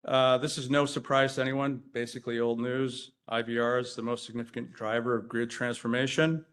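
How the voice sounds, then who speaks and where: Male engineer presenter from Rhode Island, mid-pitched, loose and joking, quizzes the crowd, lots of fillers. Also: little pitch movement, brisk, a bit bright, smooth. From Rhode Island, USA. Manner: chatty and self-deprecating, quizzes the audience, frequent fillers. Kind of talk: conference presentation with audience banter. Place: conference hall.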